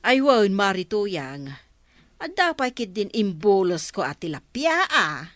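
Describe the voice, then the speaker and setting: A woman's voice: medium pitch, very animated, slow pace, a bit bright, no echo. An actress playing a chatty, helpful village woman in a radio comedy drama.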